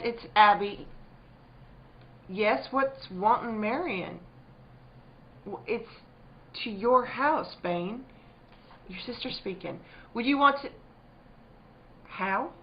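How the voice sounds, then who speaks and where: An actress playing a firm but troubled country wife in a spooky radio drama, speaking in plain rural dialect. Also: female voice, fairly high pitch. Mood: shaken. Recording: dull, muffled lo-fi recording.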